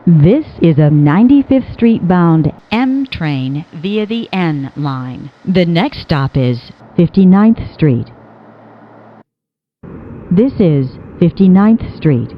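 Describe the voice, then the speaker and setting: A woman's slightly low voice delivers scripted train announcements at a very unhurried pace. Her pitch moves up and down in a lively way across measured, pieced-together phrases. The recording is dull and lo-fi. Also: a little hiss or hum. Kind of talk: scripted automated transit announcements.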